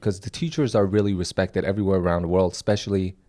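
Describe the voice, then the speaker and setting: A man's voice: low-pitched, fairly even intonation, fast, mellow. Rambling young man telling stories. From Taiwan. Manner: rambling storyteller, acts out quotes. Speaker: podcast co-host. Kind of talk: casual comedic chat.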